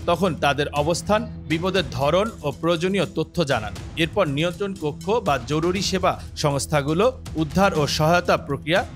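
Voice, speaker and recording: A man narrates a scripted news explainer. Clear background music plays under his reading. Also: on the high side, moderate pace. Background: music bed.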